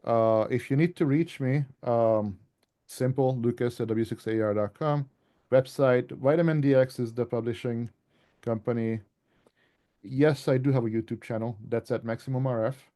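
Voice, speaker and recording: A man's voice: mid-range voice, moderate pace, warm tone. A man giving an informal presentation to a club as an author, talking in a conversational, rambling way with asides.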